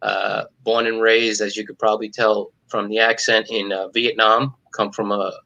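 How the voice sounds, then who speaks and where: Middle-aged male stand-up comic from New York, deadpan and cynical, sharp forceful tone. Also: on the low side, moderate pace, gravelly, dull audio. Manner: wisecracking, cynical, deadpan jokes. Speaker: stand-up comedian, guest. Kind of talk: casual video-call interview.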